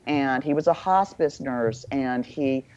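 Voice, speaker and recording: A woman with a low voice, a podcast guest talking in a frank, irreverent, self-mocking way. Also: a little slow, a little mellow, slight background noise. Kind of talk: candid casual conversation. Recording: dull lo-fi sound.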